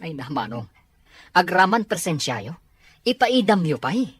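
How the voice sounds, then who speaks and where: A man playing a caring, responsible and often frustrated younger brother with a husky voice and Filipino Ilocano accent in an audio drama. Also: high-pitched, sing-song, a little slow, fairly bright tone, heavily acted. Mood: disappointed, pleading.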